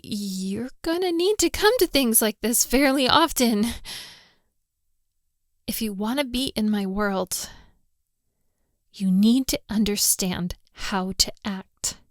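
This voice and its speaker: A woman's voice: on the high side, no room sound, studio quality. A woman playing a hot-tempered, lovestruck young daughter of a mafia boss in a girlfriend roleplay audio drama, exasperated and scolding. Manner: scolding, exasperated, with sighs and breathy asides.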